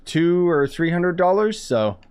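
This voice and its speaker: A man's voice: high voice, big pitch swings, unhurried. A man giving casual playthrough commentary as a gaming streamer, with dry joking asides.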